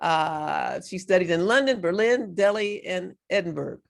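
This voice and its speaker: A woman's voice: fairly low pitch, expressive, measured pace, creaky. A woman giving a lecture as a guest speaker, earnest, with her voice cracking from overuse. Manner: earnest, reads quotes aloud, frequent restarts. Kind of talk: lecture presentation.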